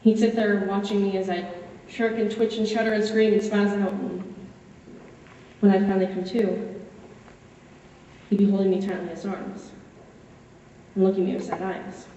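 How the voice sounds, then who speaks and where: A woman performing a dramatic monologue as a wry, wistful fourteen-year-old boy, confiding in a conversational way with dramatic pauses. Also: medium pitch, level tone, warm tone, lightly acted. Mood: subdued, sad. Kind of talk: solo dramatic monologue, direct address. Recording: echoey hall, distant, muffled, faint noise.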